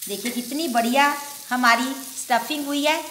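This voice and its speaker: A woman hosts a cooking demonstration in her home kitchen, talking the viewer through each step in a chatty, homely way. Her voice is high and bright. The room is echoey, with a faint sizzle from the griddle behind her. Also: moderate pace, slight background noise. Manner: homely step-by-step explaining with filler "ji". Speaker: cooking show host.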